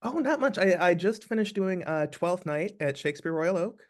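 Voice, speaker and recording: A man's voice: sits high, expressive, moderate pace. A man, an actor, talking casually as the guest in an interview.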